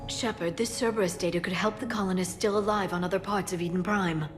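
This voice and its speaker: A woman's voice: fairly low pitch, fairly even intonation, fast talker, faint music behind, close to an everyday voice. An actress voicing an earnest, learned female scientist on a squad in a sci-fi video game. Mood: focused.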